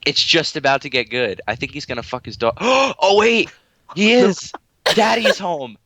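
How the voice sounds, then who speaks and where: A man's voice: on the high side, expressive, normal speed, a bit bright, no echo. A man reading a story aloud in a casual, amateur way, crude, giggly and easily sidetracked into banter. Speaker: reader on a comedic story-reading show with friends.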